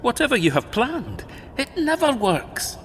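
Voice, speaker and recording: An actor in an audio drama playing a sly demigod brother, taunting and theatrical. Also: male voice, very high-pitched, huge pitch swings, a little slow, a bit bright, a little hiss or hum, full character voice. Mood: taunting, warning. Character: sly, mocking demigod brother lurking in shadows.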